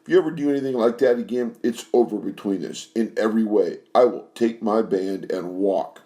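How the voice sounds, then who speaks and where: A man's voice: lowish voice, a little fast, dark tone, lightly acted. A man voicing a huge, hot-tempered band leader who blusters and threatens. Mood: angry.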